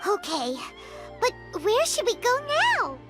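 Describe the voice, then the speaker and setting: A woman voicing a small, childlike fairy companion in a video game, excitable and naive, with an exclamatory way of talking. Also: a little slow, heavily acted. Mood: puzzled, curious. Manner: squeaky, exclamatory, speaks of self in third person. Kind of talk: video game character voice acting. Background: game music.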